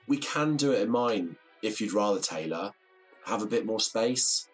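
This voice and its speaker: An actor playing an amiable, low-key, obliging male neighbour in an audio drama. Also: lively intonation, normal speed, soft background music, natural voice.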